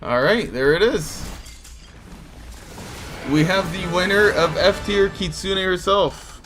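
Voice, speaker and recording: A man's voice: high voice, slow pace, noisy background. Easygoing Portuguese-accented male streamer. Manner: easygoing, chatty, reacts to chat. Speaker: streamer hosting a card game tournament. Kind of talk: casual live-stream chat reading viewer comments.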